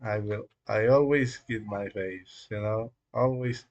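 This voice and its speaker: A man whose first language is Spanish, chatting casually on a live coding stream in a rambling, halting way, with many fillers and small chuckles. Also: on the low side, a little mellow. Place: dark room at home.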